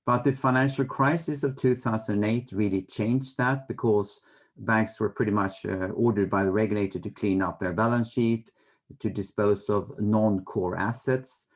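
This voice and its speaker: Middle-aged Swedish man, slightly low voice. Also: steady tone, moderate pace. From Sweden. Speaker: interview guest, fund manager. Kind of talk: conversational interview answers.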